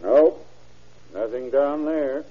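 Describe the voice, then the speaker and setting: An actor playing a plain-spoken, folksy and methodical sheriff's deputy in an old-time radio crime drama. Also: male voice, mid-range voice, slow. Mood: matter-of-fact, weary. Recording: muffled old radio show recording.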